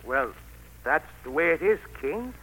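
A sly, fawning royal magician in an old radio play. His voice is bright, husky and on the high side, with a folksy dialect and a deferential, nasty streak. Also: sing-song, a little slow, exaggerated voice, poor audio. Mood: weary, apologetic. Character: servile, sly, nasty court head magician. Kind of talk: 1940s radio acting. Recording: 1940s radio broadcast recording.

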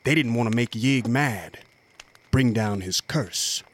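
A man's voice: medium pitch, takes it slowly. A man playing a folksy frontier local telling spooky tales in a radio drama, ominous in manner, with a rural American accent. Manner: ominous, folksy yarn-spinning.

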